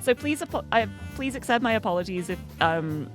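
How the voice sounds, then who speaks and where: Brief: chatty young female streamer, bubbly, a bit croaky, animated intonation. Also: mid-range voice, a little fast, soft background music. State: slightly croaky, just woken up. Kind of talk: casual game-stream chat.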